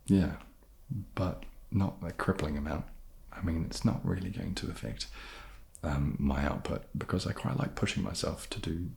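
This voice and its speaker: A man answering questions in a soft-spoken, casual, laid-back way with dry humour. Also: sits low, normal speed, gravelly. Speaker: ASMR video creator answering fan questions.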